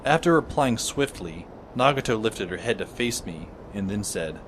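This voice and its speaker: An actor playing a dry, sardonic teenage schoolboy who narrates an audio drama in the first person. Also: male voice, on the low side, animated, normal speed, a little hiss or hum, lightly acted. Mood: reflective. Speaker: narrator and lead character. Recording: music under the voice.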